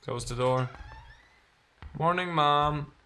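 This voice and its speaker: Bright-voiced man reading a game aloud. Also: fairly high pitch, slow pace, clear, resonant tone. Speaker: let's player. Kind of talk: reading visual novel text aloud with casual commentary.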